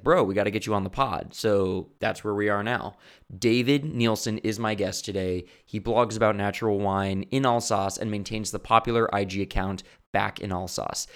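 A man from the United States hosting a podcast, laid-back and slangy, leaning on "like" as he chats. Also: lowish voice. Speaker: podcast host. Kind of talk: casual interview chat.

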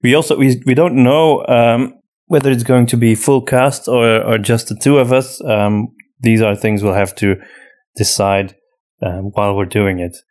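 A man co-hosting a podcast, a native Flemish Dutch speaker with an accent between British and American, improvising casual talk in halting, pieced-together sentences. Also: medium pitch, steady tone, a little mellow. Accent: mixed European, between British and American. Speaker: podcast co-host and voice actor.

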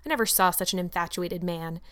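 A woman's voice: on the low side, brisk, a bit bright, close to an everyday voice. A woman voicing a stern, brusque older spinster who scolds in a clipped way. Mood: scolding.

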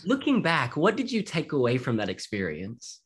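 A woman's voice: very deep voice, huge pitch swings, moderate pace, husky. A woman interviewer asking a singer friendly, encouraging questions.

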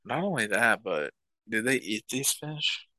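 Low-voiced joking young man. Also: a little slow, dry recording. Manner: crude, joking, deadpan asides. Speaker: podcast co-host. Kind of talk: casual chat.

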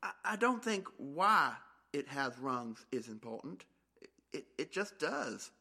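Someone playing a bright, hesitant, folksy male survivor. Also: mid-range voice, big pitch swings, takes it slowly, gravelly, husky, exaggerated voice. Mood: uncertain. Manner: hesitant, stammering, drawling. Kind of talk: amateur audio drama acting.

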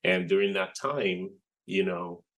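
A man in his forties from Rico, a Spanish speaker, telling his story as an interview guest in a reflective, unhurried way. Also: low voice, a bit bright. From Puerto Rico. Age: 40s. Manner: reflective, unhurried storytelling with frequent "you know". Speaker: guest, game developer. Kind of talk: casual interview chat.

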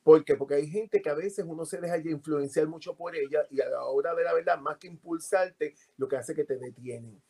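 A Puerto Rican man, a podcast guest, telling animated stories about his life with frequent fillers. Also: fairly high pitch, brisk, vocal fry, hardly any pauses, husky. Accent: Puerto Rican Spanish. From Puerto Rico. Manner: animated storytelling with many fillers. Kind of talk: casual interview.

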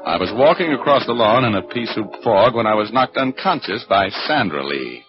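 An actor playing a wry, slangy, tough private detective in a 1940s radio drama, narrating and scheming. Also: male voice, fairly low pitch, sing-song, normal speed, music in the background. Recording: dull 1940s radio broadcast.

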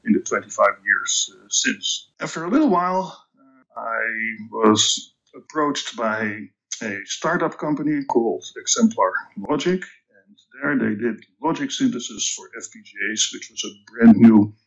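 A man in his sixties from the Netherlands with a Dutch accent, a podcast guest recounting his career in a measured, hesitant way with many ums and restarts. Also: medium pitch, animated, muffled recording. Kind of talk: reflective career storytelling.

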